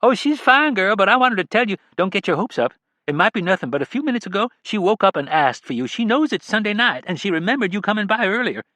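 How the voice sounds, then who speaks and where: Homey nurse lady who calls everyone honey, a guy putting on a high voice, dry sound. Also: sing-song, quick pace, bright, forceful tone, no room sound, full character voice. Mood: reassuring. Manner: familiar, calls her girl and honey. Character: warm chatty woman care-home nurse.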